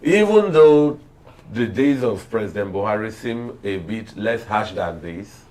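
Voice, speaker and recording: A man with a Nigerian accent giving political commentary on a TV panel in measured, oratorical phrasing. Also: mid-range voice, darkish tone, poor audio.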